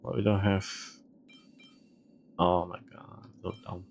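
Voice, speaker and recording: A man's voice: low voice, flat delivery, takes it slowly, lo-fi sound, a little hiss or hum. A man giving casual game stream commentary, muttering to himself in short fragments.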